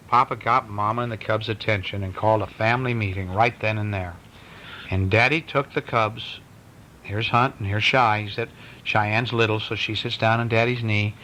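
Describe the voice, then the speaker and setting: A man reading a bedtime story at home in a conversational, expressive way, with casual asides to a child. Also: normal speed. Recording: noisy home recording with low rumble. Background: small child chiming in.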